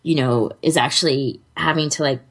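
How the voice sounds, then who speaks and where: A woman's voice: deep voice, steady tone, normal speed, husky. A woman co-hosting a podcast in casual conversation, rambling and often restarting her sentences. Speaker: podcast co-host.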